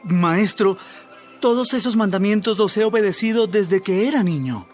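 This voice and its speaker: High-voiced, eager rich man. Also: moderate pace, faint music behind. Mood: earnest. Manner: pleading, earnest, excitable. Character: eager, earnest rich man seeking guidance. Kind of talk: dramatized audio Bible acting.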